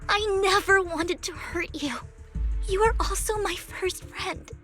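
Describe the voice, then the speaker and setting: An actress playing a gentle, earnest young woman with an imploring manner in a dramatic video game scene. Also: very high voice, takes it slowly, heavily acted. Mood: tender, pleading. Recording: music behind the voice.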